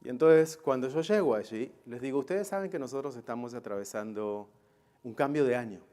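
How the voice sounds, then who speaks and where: A man's voice: mid-range voice, fairly quick, warm tone. A man teaching a Bible class in a didactic way, posing rhetorical questions and often checking that his listeners follow. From Israel.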